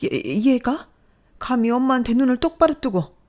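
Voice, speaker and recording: A woman voicing a domineering, furious middle-aged mother-in-law who shouts and scolds harshly. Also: on the high side, expressive, moderate pace, voice pushed up, lightly acted.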